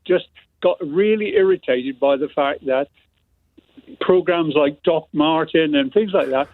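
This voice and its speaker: A middle-aged man with a West Country accent rings a radio phone-in, his voice fairly high for a man and coming through a thin, tinny phone line. He rambles through his story and laughs at himself as he goes. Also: big pitch swings, measured pace, dry recording. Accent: West Country English. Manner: self-deprecating, laughing, rambling anecdote. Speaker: caller. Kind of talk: phone-in call.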